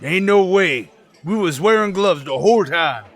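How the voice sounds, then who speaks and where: A man's voice: sits high, animated, moderate pace, a bit bright. Nervy, swooping male crook played by an actor. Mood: defensive. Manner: rough slangy talk, nervy, defensive. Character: Lefty, rattled small-time male crook and killer. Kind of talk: radio drama acting as a gangster.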